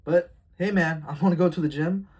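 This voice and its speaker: A man's voice: a little high, fairly even intonation, brisk, a little mellow, breathy edge. A man of twenty-one talking to his phone camera in a candid, rambling vlog, reflective and heartfelt, with frequent fillers. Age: early 20s. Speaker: vlogger. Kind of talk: casual confessional vlog.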